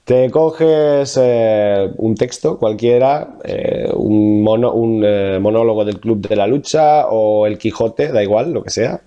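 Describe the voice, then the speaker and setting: A man's voice: on the low side, slow, hardly any pauses, dark tone, highs cut off. A man from La Mancha with a Castilian Spanish accent, a vocal coach in his thirties explaining diction exercises in an interview, thoughtful, with many fillers and restarts. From Spain, Castilla-La Mancha.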